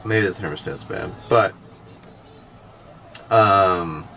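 A man's voice: low voice, slow, bright, forceful tone, a little hiss or hum. A man in his thirties, a sailing vlog host, casually thinking aloud as he works through an engine problem inside his boat's cabin. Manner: thinking aloud while troubleshooting. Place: cabin of a sailboat.

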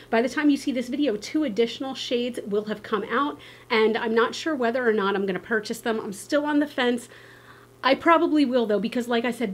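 A woman in her thirties making a casual beauty vlog, chatty and emphatic, her voice slightly hoarse. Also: on the high side, slight background noise. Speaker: beauty vlogger. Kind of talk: casual vlog with sponsor read. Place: backyard outdoors, then indoor room.

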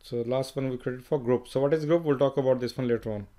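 Male instructor, plain step-by-step tutorial delivery, mid-pitched, self-correcting. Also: fairly even intonation, fast talker, warm tone. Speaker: software trainer. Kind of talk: screen-recorded software tutorial.

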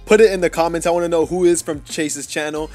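A nineteen-year-old man from Mexico doing casual, rambling voice-over commentary on his mobile gameplay, excitable and full of jokes. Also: fairly high pitch, fast, faint music behind. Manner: excitable, joking, rambling with lots of fillers. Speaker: mobile gaming YouTuber. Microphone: voice-over recorded apart from the gameplay.

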